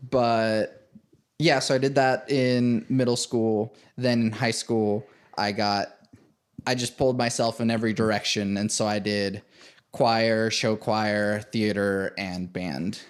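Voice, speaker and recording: A man's voice: fairly low pitch, level tone, takes it slowly, clean tone. A man from Venezuela, a composer, chatting casually in an interview, rambling with many fillers and frequent laughs. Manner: rambling, full of likes and fillers, laughs often. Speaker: composer and podcast host, interviewed as guest.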